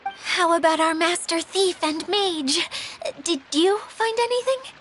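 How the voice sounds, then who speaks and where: Game role: earnest, unsure young female fixer, very high and lively, apologetic, faint ambience behind. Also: measured pace, slight background noise, heavily acted. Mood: weary, friendly. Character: earnest, self-doubting young female repairer. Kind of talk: video game voice acting.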